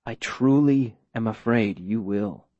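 A man playing a coldly menacing mayor in an audiobook, speaking in a measured, formal, falsely gentle way. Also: lowish voice, no room sound, lo-fi sound. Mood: coldly regretful. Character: smooth-talking, coldly menacing male mayor interrogating a captive.

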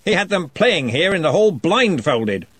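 A man voicing a big, jovial old wizard chancellor who talks loudly and heartily, with a faintly threatening cheer. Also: a little high, sing-song, moderate pace, slightly rough, no echo, pitched above the performer's own voice, exaggerated voice.

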